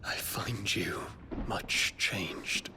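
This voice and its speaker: An actor playing an earnest, proud young prince in a fantasy game, speaking in a formal, intense, courtly way. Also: male voice, sits low, extremely slow, background noise. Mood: grave concern. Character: earnest, proud young prince, dutiful but troubled son. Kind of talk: dramatic scripted fantasy game acting.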